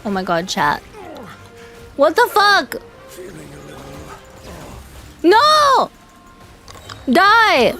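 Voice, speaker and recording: A young woman streaming a game, chatting casually with her chat, swearing and yelling in excitement now and then. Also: very high-pitched, very slow, pauses often, soft background music. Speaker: VTuber streamer. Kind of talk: casual gaming livestream chat.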